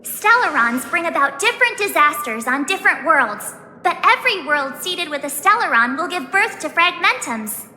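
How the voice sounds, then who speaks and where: A perky teenage girl adventurer for a video game, cheeky and pleased with herself. The voice is extremely high and piercingly bright, bubbling along with lively swings inside an echoey hall. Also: moderate pace, heavily acted. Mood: perky, proud. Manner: bubbly, self-satisfied, animated. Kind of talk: scripted video game voice acting. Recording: echoey hall, faint noise.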